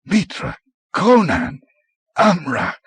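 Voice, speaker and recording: A man putting on a fearful, wealthy merchant in a storybook tale, speaking nervously in a pleading tone. The voice climbs well above the reader's own low pitch. The recording is dry and close. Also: huge pitch swings, slow, a bit bright, husky, no room sound, heavily acted. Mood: shocked.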